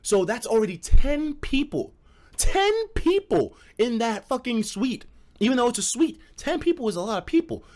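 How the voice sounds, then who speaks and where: Animated, high-pitched man telling stories. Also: moderate pace. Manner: profane, slangy, acts out quoted dialogue; dramatic emphasis and repetition for suspense. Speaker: streamer telling stories to a live chat. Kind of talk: animated casual storytelling.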